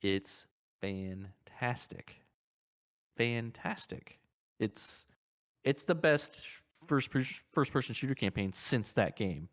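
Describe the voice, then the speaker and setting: A man's voice: medium pitch, expressive, slow, no echo, clean studio sound. A man co-hosting a casual gaming podcast, speaking bluntly and swearing freely. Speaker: podcast co-host.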